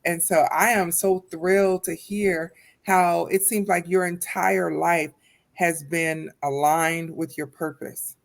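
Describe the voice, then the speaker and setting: A woman's voice: fairly low pitch, fairly even intonation, slow pace, creaky. Enthusiastic woman podcast host. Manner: enthusiastic, affirming, encouraging. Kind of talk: casual podcast interview.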